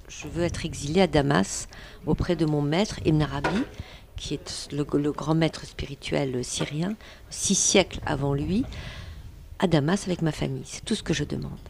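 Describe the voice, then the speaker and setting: A woman's voice: sits low, moderate pace, gravelly, background noise. A woman author in a radio interview, earnestly retelling a historical story and quoting what people said. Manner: earnest, animated retelling with quoted speech.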